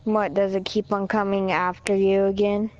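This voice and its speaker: A woman's voice: mid-range voice, level tone, normal speed, a little mellow. A woman chatting casually over an online voice chat party, rambling with lots of "like" and "yeah". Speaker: friend in voice chat. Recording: dull lo-fi voice chat audio.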